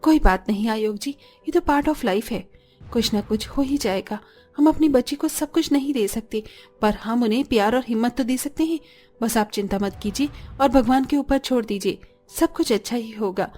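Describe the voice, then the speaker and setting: High-voiced gentle poor mother played by a woman. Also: moderate pace, soft background music, natural voice. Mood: reassuring, hopeful. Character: poor, gentle, hopeful mother and patient wife.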